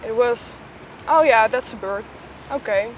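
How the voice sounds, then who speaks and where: A woman's voice: a little high, a little slow, rough recording. A woman explaining her work in an interview, searching for words as she goes. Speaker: audio play maker. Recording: faint background noise.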